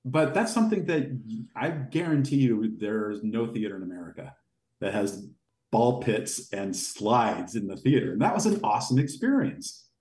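A man with a deep voice telling long, rambling anecdotes as a podcast guest. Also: moderate pace. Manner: rambling, animated anecdotes with fillers. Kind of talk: casual storytelling in an interview. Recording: echoey room.

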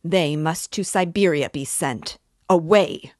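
A woman reading an audiobook voices an enraged landlady who yells in broken, foreign-sounding speech. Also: sits low, slow pace, dry recording, studio quality. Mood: furious. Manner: yelling, broken grammar.

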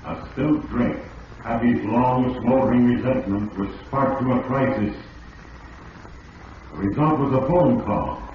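An actor voicing Fate as a portentous, deliberate narrator in an old-time radio mystery. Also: male voice, medium pitch, natural voice. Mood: ominous, solemn. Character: Fate personified, ominous all-knowing male narrator. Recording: muffled old radio recording, echoing, distant, hissy.

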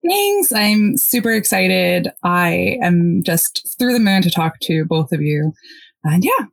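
A woman from the United States, a podcast guest, telling her story quickly and excitedly. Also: lowish voice, gravelly, no room sound. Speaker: interview guest. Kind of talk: casual podcast interview.

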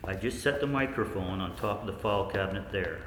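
A man playing a haunted researcher looking back, narrating a 1940s radio play in an intimate, deliberate, ominous way. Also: low voice, fairly bright tone. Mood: measured, explanatory. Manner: intimate, deliberate, knowing, ominous direct address. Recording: echoey hall, long reverb, faint noise.

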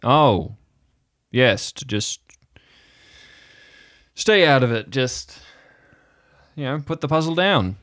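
A man's voice: mid-range voice, lively intonation, slow pace, pauses often, a bit bright, no echo, studio quality, pitched above the performer's own voice. A man playing an evasive, dismissive former sailor in his thirties in an improvised audio drama. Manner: stubborn and dismissive.

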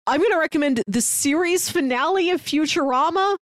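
A woman's voice: high-pitched, fairly quick, no echo, clean studio sound. A woman co-hosting a podcast, chatting enthusiastically and recounting plots in a casual way. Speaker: podcast co-host. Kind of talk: casual chat.

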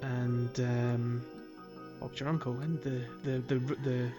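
A man's voice: medium pitch, level tone, takes it slowly, over music. A man co-hosting a gaming podcast, chatting casually and explaining things in an informal, hesitant way. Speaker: podcast co-host.

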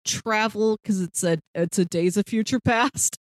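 A woman chats as co-host of a casual discussion. Her voice sits at a medium pitch and is recorded very dry, with hardly any room sound. The delivery is conversational and unscripted. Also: unhurried, no room sound. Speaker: podcast co-host.

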